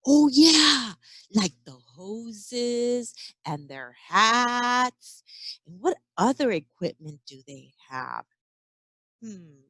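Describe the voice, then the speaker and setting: A woman reads a picture book to young children in a bright, fairly high voice. She takes her time and delivers it expressively, like a teacher, adding sound effects and chatty questions. The sound is close and dry. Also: big pitch swings, drawn-out words, no echo. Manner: acts out sound effects and exclamations. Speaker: early education teacher.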